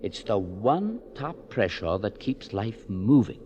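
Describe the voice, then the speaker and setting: Probing doctor, lowish animated voice. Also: male voice, slight background noise. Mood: probing, persuasive. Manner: measured, probing, persuasive. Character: thoughtful, probing male physician and husband, formerly of London. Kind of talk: 1940s radio drama acting and narration. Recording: muffled old radio broadcast, music behind.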